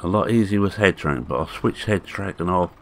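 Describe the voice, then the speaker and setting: A man in his sixties talks through his farming game in a relaxed, rambling way, pausing on fillers as he goes. His voice sits very low and sounds hoarse and croaky. A faint engine hum runs under his voice. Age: 60s. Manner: unhurried, rambling, halting with fillers. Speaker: farming game video maker. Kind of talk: casual gameplay commentary.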